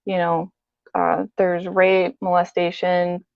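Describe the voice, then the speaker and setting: A woman's voice: on the low side, monotone, takes it slowly, gravelly, clean tone, dry recording. Woman recounting in dull lo-fi audio. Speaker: interview guest. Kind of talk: conversational personal account.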